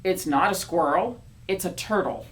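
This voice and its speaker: A woman voicing a stubborn storybook character in a lowered, drawn-out voice. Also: fairly even intonation, creaky, full character voice. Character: stubborn, calm turtle-believing story character.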